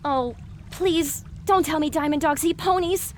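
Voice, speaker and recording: A woman's voice: high voice, a little slow, slight background noise. A woman playing an anxious young female pony in an audio drama, sarcastic and easily panicked. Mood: exasperated.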